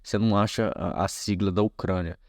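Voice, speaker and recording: A man's voice: low voice, steady tone, fairly quick, a little mellow, no echo, studio quality. A man with a Brazilian accent hosting a casual step-by-step tech tutorial, explaining informally and speaking directly to viewers.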